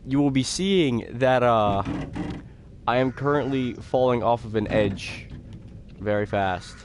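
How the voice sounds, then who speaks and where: A teenage boy chatting calmly with friends while streaming a game, talking slowly with many fillers and restarts. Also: medium pitch, noisy background. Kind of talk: casual chat while gaming on a live stream.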